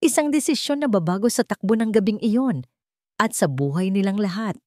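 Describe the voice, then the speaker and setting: A woman narrating a scripted story in a dramatic, suspenseful way, in deliberate short phrases. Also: medium pitch, animated, dark tone, dry recording, studio quality.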